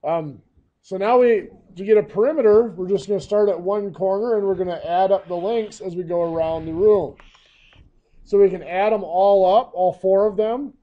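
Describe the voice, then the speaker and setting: A hoarse, coughing man teaching a math lesson, walking through each step patiently. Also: sits high, dark tone, highs cut off.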